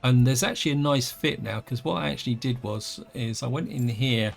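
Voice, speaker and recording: A man talks through his game in a loose, thinking-aloud way, often correcting himself mid-sentence. His voice is gravelly and slightly wheezy from an allergic reaction. Soft music plays faintly underneath. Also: mid-range voice, fairly quick. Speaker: let's play host. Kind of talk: casual gameplay commentary.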